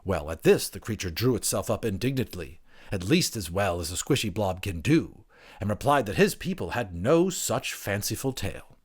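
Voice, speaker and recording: Animated man narrating an audiobook. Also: lowish voice, normal speed, breathy edge. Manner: theatrical, expressive. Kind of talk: dramatic storybook narration.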